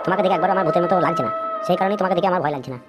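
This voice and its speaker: A woman's voice: fairly low pitch, very fast, loud music behind the voice, lightly acted. A woman voicing a timid, wary human villager in a comic cartoon, nervous and full of questions.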